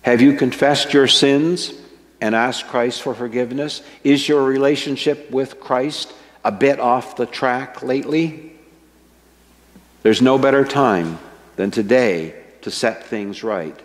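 An older man preaching a sermon from a church pulpit, slow and earnest, stressing his key words. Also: mid-range voice. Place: church sanctuary with a stage, indoors. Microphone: microphone at a wooden pulpit, fixed camera. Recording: long church reverb.

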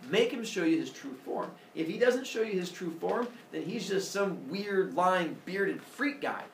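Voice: medium pitch, animated, measured pace, roomy sound, recorded from a distance, slight background noise. A storyteller playing a sly, scheming older goddess who is casually persuasive.

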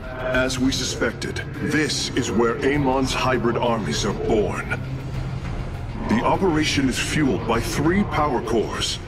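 An actor playing an earnest, analytical alien engineer in a video game, humble and dutiful, speaking formally and precisely. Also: male voice, mid-range voice, takes it slowly, close to an everyday voice. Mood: thoughtful, calculating. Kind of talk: video game dialogue acting. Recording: loud music under the voice.

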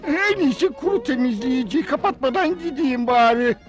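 A man's voice: very high voice, animated, normal speed, over music. A man playing a fussy, formal, easily flustered butler in a dubbed cartoon, speaking primly and exclaiming.

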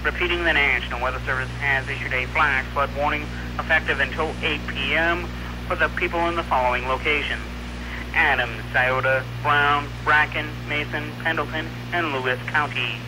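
A man announcer on weather radio, reading scripted forecasts and warnings in a formal, measured way. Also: a little high, fairly even intonation. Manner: formal, measured bulletin delivery. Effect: radio broadcast. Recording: noisy 1990s weather radio broadcast with hum.

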